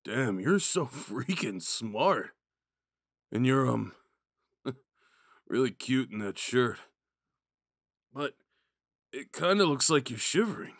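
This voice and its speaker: Man acting a slow-talking drunk himbo jock. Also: medium pitch, big pitch swings, a bit bright, no echo, clean studio sound, heavily acted. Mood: flirty, concerned. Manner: drunken, halting, goofy, rambling. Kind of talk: ASMR roleplay acting, speaking to the listener.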